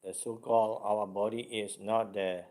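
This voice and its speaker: A man guiding a meditation as a teacher, speaking deliberately in short, halting phrases with much repetition. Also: fairly low pitch, little pitch movement, darkish tone.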